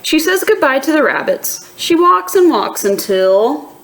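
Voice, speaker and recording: A woman reading a children's picture book aloud in an expressive, deliberate teacher's manner, pausing to ask young listeners questions. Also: high-pitched, gravelly, slight background noise, low-quality recording.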